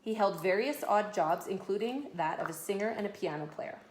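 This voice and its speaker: A woman's voice: medium pitch, lively intonation, fairly quick, darkish tone. A mother reading a children's biography aloud at home, with casual asides to her kids. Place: echoey room at home. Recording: echoey room, faint background noise.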